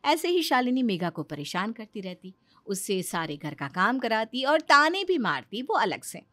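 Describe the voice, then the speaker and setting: A woman's voice: on the high side, very animated, moderate pace, pushed and bright, no room sound. A woman reading scripted narration for a moral story.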